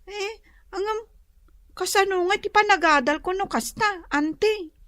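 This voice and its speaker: A woman's voice: extremely high pitch, measured pace, fairly bright tone, dry recording, studio quality. A woman with an Ilocano accent playing a young, eager and respectful nursing student in a radio comedy drama. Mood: polite, earnest.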